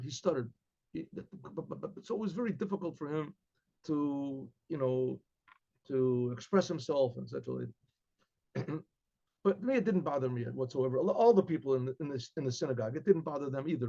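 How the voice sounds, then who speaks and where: An older man with an American accent telling a story in a casual class, rambling, repeating words and clearing his throat often, on a webcam in a study lined with books. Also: a little high, warm tone, muffled recording. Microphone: webcam at desk.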